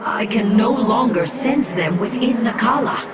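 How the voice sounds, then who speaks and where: A woman's voice: a little high, unhurried. An actress playing a commanding female alien military leader in a video game, speaking in a firm, commanding way. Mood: urgent. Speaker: game character. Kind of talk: video game cutscene voice acting. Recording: loud background noise.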